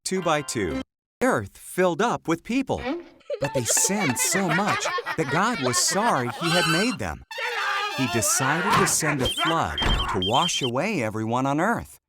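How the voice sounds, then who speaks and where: Slow, expressive storyteller over loud music. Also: mid-range voice. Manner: measured, simple storytelling in short phrases. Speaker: narrator. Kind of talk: scripted children's Bible story narration. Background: loud background music.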